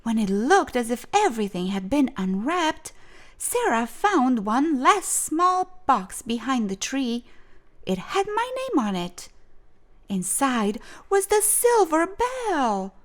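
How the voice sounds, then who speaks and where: A woman reading a children's storybook aloud in an expressive, deliberate way with dramatic pauses. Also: high-pitched. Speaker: storyteller, host of a read-aloud show.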